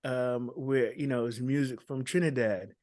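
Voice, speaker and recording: A man's voice: medium pitch, steady tone, measured pace, a little mellow, no echo, studio quality. A man speaking as a dancehall artist in an interview, talking casually with many ums and restarts.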